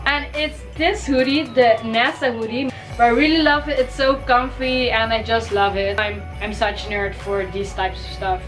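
Young woman vlogger with a cold, gushing and upbeat, bright high-ish voice, filmed by a desk camera in a bedroom. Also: steady tone, moderate pace, few pauses, faint music behind. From Caribbean. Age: young adult. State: has a cold, voice may crack. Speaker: fashion vlogger. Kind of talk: casual shopping haul vlog. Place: bedroom, indoors.

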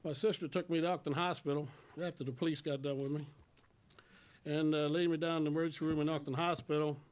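A man in his sixties giving a personal testimony to a congregation in a plain, folksy, halting way, speaking into a stand microphone. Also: on the high side, little pitch movement, a little mellow. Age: 60s. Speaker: church member giving testimony. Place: church sanctuary at a lectern.

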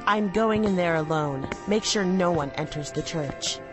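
A woman voices a cool female inspector in an animated thriller. Her delivery is slow and on the low side, calm, curt and commanding, with music behind her. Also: lightly acted. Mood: cool, resolute.

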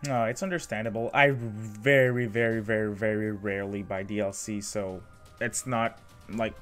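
A man hosting a gaming podcast, soft-spoken and hesitant, with many fillers. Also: on the low side, steady tone, moderate pace, faint music behind. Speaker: podcast host. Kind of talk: casual gaming chat.

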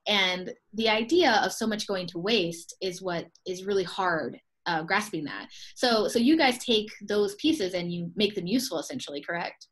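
A woman's voice: mid-range voice, lively intonation, normal speed, few pauses. Chatty upbeat woman on a video call. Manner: chatty, upbeat, laughs often. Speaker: host, expo co-owner. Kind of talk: casual video call interview.

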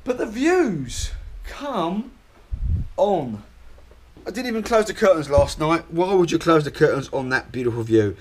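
A man's voice: fairly high pitch, sing-song, unhurried. A man in his forties giving a chatty, down-to-earth travel vlog, filmed on an action camera held at arm's length outdoors. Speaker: motorcycle vlogger. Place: outdoors, hotel grounds and ferry deck. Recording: outdoor camera with clear background noise.

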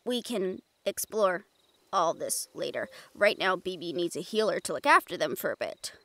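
A woman's voice: lowish voice, expressive, normal speed, fairly bright tone. A woman playing a sarcastic, teasing young woman in an audio roleplay, with a wry way of talking.

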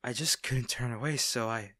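A man's voice: medium pitch, normal speed, no room sound, clean studio sound. A man playing a tender, nervous villain in an intimate audio roleplay, stammering and hesitant as he speaks to the listener. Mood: apologetic, tender. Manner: hesitant, stammering, soft-hearted. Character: smitten masked male villain, nervous, tender, teasing.

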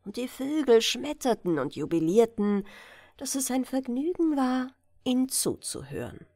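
A woman narrating a fairy tale as an expressive bedtime audiobook reading. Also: on the high side, normal speed, dry recording, clean studio sound.

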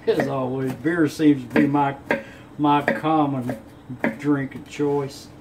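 A man's voice: medium pitch, fairly even intonation. Easygoing man, noisy kitchen recording. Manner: easygoing, folksy, unhurried. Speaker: home cook presenting a recipe. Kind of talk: casual cooking demonstration. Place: home kitchen, indoors. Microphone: camera on counter a short way off. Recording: clear room noise in a kitchen.

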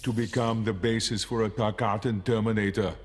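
A man acting a stoic killer robot in a video game, speaking in flat, terse, mechanical lines. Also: lowish voice, fairly quick, natural voice. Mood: cold, flat. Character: stoic, menacing humanoid killer robot, adult male. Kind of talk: video game character dialogue. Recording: long reverb, loud effects noise, clicks.

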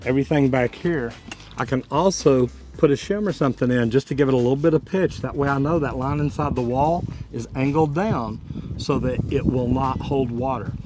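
A man, explaining a hands-on job in a casual, folksy way as a plumber hosting a tutorial. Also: medium pitch. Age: 50s to 60s. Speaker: plumber and video host. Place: outdoors beside a brick house. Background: music.

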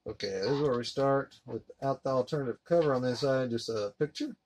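A man in his thirties giving a relaxed, rambling unboxing commentary while handling discs at his desk. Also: mid-range voice, brisk. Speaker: collector unboxing a disc set. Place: collectibles room indoors. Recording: noisy home recording.

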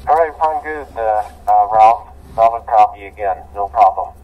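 An American man with an American accent, chatting casually and haltingly as a ham radio operator over digital radio. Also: on the low side. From United States. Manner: halting, filler-heavy. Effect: digital radio transmission. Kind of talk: casual amateur radio contact. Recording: muffled distant digital radio link.

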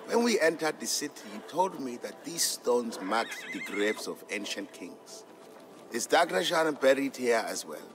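A man's voice: medium pitch, sing-song, a little slow, background noise. A man voicing a curious, naive young boy in a narrated game story.

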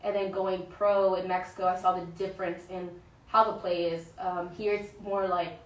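A woman's voice: on the low side, flat delivery, moderate pace. A young woman talking casually as an interview guest, full of fillers and searching for words. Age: young adult. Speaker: guest, pro soccer player. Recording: roomy, distant mic, faint ambience.

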